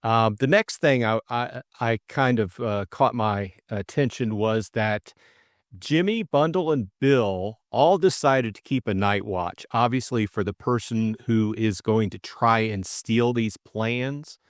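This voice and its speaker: A man's voice: on the low side, a little slow, no room sound, clean studio sound. A middle-aged man reading a classic novel and discussing it as a co-host. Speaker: audiobook reader and co-host. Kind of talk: storybook narration and casual book discussion.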